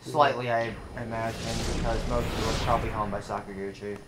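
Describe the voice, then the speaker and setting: A man's voice: lowish voice, fairly even intonation, fast, crowd noise. A man co-hosting a let's play, commenting in a knowing, matter-of-fact way. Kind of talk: casual commentary over gameplay. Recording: echoey room.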